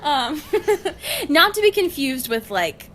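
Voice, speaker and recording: A young woman speaks in a fairly high voice that swings up and down with animation. She chats casually as a podcast co-host, slangy and sarcastic, often breaking into giggles. Faint noise sits behind her voice. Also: very animated, takes it slowly, fairly bright tone. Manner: wry, sarcastic, informal slang; giggly, laughs often while talking. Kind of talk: casual chatty book discussion.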